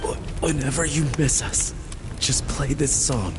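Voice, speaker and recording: Game role: man voicing a gentle father, soft, slow, slightly high. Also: noisy background, natural voice. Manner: gentle, soothing. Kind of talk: video game voice acting.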